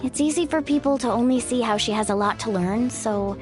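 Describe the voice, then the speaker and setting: A woman's voice: a little high, a little fast, close to an everyday voice. A woman voicing a confident, playful, sisterly young female warrior in a video game. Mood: warmly supportive. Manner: breezy, playful, self-assured. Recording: faint music behind. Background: faint music.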